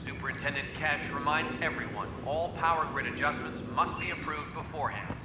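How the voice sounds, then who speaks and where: A man's voice: fairly high pitch, brisk. A man playing a formal, impersonal announcer on a space station's public address system in a video game. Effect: echoing public address system. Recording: echoing hall, loud station noise.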